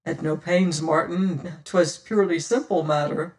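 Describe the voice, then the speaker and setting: Man voicing a sly, scornful pirate rogue, high and slow. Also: steady tone, a little mellow, distant mic, poor audio. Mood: mocking, cool. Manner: taunting, lecturing, archaic seafaring speech. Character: sly, scornful, silver-tongued pirate rogue, mocking and self-assured. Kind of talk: dramatised audiobook reading of an adventure tale.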